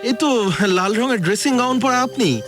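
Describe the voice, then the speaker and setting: An actor playing a curious, easily impressed man in an audio drama, animated and exclaiming with surprised questions. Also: very high-pitched, normal speed, over music. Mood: amazed. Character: curious, easily impressed man visiting a remote island house.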